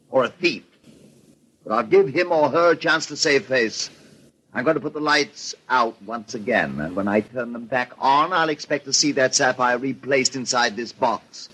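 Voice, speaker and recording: An actor playing an indignant, commanding, wealthy party host in a 1940s radio mystery. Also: male voice, mid-range voice, lively intonation, moderate pace, a bit bright, husky, poor audio. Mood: stern, challenging. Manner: commanding, stern, theatrical delivery. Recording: vintage 1940s radio recording with noise.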